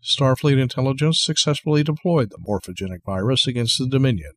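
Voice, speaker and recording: A man's voice: mid-range voice, expressive, fast talker, fairly bright tone, no room sound. A man giving scripted narration as the announcer of an audio drama. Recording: music behind the voice.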